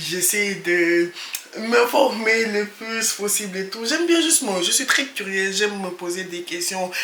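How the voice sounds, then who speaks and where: A woman's voice: mid-range voice, sharp tone. A young woman hosting her own vlog, talking casually and switching between French and English, laughing easily. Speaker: channel host. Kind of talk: casual vlog talk to camera. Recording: echoey room with faint noise.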